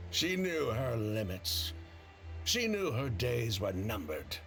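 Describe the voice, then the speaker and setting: A man's voice: medium pitch, sing-song, takes it slowly, a bit bright, faint music behind, full character voice. A man playing a bitter, defiant criminal in a video game, with sardonic, theatrical delivery. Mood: bitter, indignant. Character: bitter, defiant perfumer criminal accepting his guilt. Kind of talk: dramatic game voice acting.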